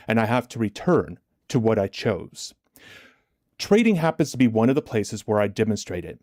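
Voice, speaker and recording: A man hosting a livestream as a motivational trading coach, deliberate and emphatic, his chest a little congested from a recent illness. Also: lowish voice, a little mellow, no echo, clean studio sound. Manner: deliberate, emphatic, repetitive rhetorical questions. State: chesty, getting over bronchitis, clearing throat.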